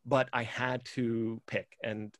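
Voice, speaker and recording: Male podcast host, chatty and eager, dry close sound, mid pitch. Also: flat delivery, slow, dry recording. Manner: enthusiastic, rambling with many fillers. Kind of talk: casual music chat.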